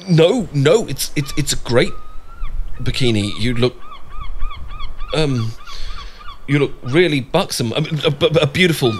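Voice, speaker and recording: Man playing a stammering, adorkable British guy, lively pitch. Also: a little hiss or hum. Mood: flustered, admiring. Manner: stammering, halting, awkward, rambling. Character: awkward, adorkable, bashful British boyfriend-to-be, easily flustered. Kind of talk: ASMR romantic audio roleplay.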